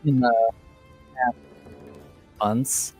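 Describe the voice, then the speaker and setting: A man's voice: mid-range voice, steady tone, dark tone, husky, faint music behind. A man hosting a livestreamed game as game master, speaking unscripted and often correcting himself as he goes. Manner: halting, self-correcting. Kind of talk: unscripted livestream show introduction.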